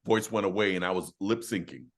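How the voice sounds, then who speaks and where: A man's voice: deep voice, brisk. A man, a singer, chatting casually and telling a story with easy laughs. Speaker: a cappella singer and host. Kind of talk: casual chat.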